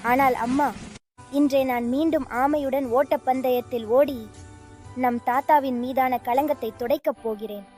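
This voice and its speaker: Very high voice, indignant young male rabbit, proud and stubborn, cartoon storytelling, a woman acting it out, light music underneath. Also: fairly even intonation, measured pace, darkish tone. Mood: determined. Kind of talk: animated children's story dialogue.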